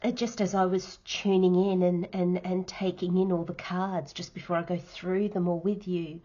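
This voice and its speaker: A woman giving a tarot reading, nasal and croaky from hay fever, speaking softly and sometimes slipping into a whisper. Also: on the low side, flat delivery, dull audio. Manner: soft, unhurried, drops into whispers while laying cards. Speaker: tarot reader.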